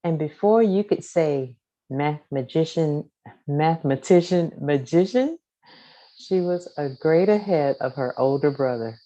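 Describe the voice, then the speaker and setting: A woman reading a children's story aloud from a script, with deliberate, expressive phrasing. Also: sits low, dull audio. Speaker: storybook reader.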